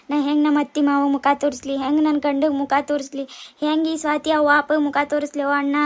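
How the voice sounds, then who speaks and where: A woman performs a distraught young wife in a family melodrama. Her voice is high and keeps to a narrow range. She laments and accuses in a North Karnataka accent. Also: darkish tone. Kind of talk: melodramatic family drama acting.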